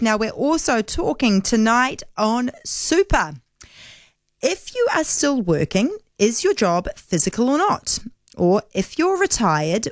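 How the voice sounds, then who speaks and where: A woman's voice: medium pitch, no echo, clean studio sound. A woman from Auckland with a New Zealand accent, hosting a live talkback phone-in in a chatty style. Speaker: talkback radio host.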